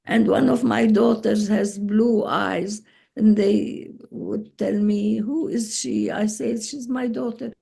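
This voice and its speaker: Brief: woman, 80s, Arabic-accented, unhurried storyteller, gravelly husky voice, video call. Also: medium pitch, steady tone, dark tone. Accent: Palestinian Arabic. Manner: slow, halting, with frequent pauses and restarts. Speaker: guest speaker. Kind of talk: relaxed personal storytelling.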